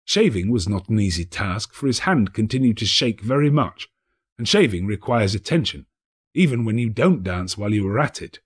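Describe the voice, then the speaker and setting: A man narrating a classic story as an audiobook, with theatrical, measured storytelling. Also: fairly low pitch, animated, breathy edge. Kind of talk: expressive literary narration.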